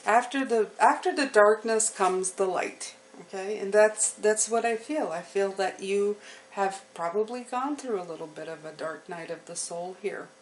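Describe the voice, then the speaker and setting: A woman giving an unscripted, reassuring tarot reading to her viewers, often pausing to check in with the listener. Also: mid-range voice, slight background noise, rough recording. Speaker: tarot reader hosting a channel.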